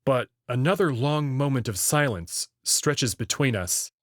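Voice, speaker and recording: Slow, expressive man narrating. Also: mid-range voice, no room sound, clean studio sound. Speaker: narrator reading a visual novel aloud. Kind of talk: first-person story narration.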